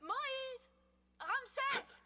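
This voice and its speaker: A woman voicing a gentle, anxious royal mother in a French cartoon dub. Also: very high voice, lively intonation, drawn-out words, full character voice. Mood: reproving.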